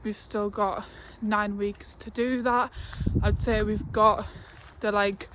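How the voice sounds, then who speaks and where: Slow-talking young woman vlogger. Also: mid-range voice, flat delivery, background noise. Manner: chatty, with laughs and fillers. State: out of breath while walking uphill. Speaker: fitness vlogger. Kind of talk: casual vlog talk to camera. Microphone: phone held at arm's length.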